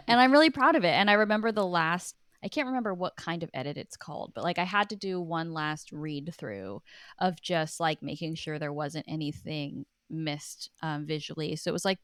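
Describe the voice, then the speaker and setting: A woman's voice: fairly low pitch, normal speed, fairly bright tone, dry recording, studio quality. A woman speaking earnestly and informally as an interview guest, reflective and casual, often saying "like". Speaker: guest, writer and author.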